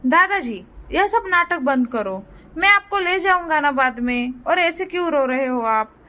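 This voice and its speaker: A spoiled young grandson played by a woman in a cartoon tale, with a high voice. He is brusque, impatient and demanding, thinking of himself first. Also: expressive, a little slow, sharp tone, exaggerated voice. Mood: dismissive. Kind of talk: moral story cartoon dialogue.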